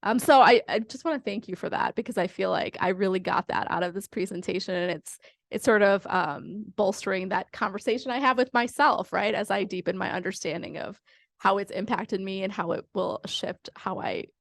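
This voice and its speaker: A woman educator in the audience, giving a thoughtful, hesitant comment with many pauses and ums. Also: mid-range voice, gravelly, no echo, clean studio sound.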